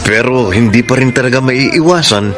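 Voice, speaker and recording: A man acts out a devoted young rich Filipino boyfriend in a radio drama. He speaks earnestly and sentimentally at a middle pitch, with music playing clearly behind him. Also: normal speed, natural voice. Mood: wistful. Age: young adult.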